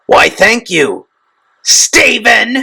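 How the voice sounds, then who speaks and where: Villainous Santa: high, creaky, theatrical and taunting, echoey recording. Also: male voice, sing-song, pushed and bright, full character voice. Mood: gloating. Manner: theatrical, taunting, drawn-out delivery. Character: sinister, gloating murderous Santa Claus villain. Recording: echoey room, distant from mic.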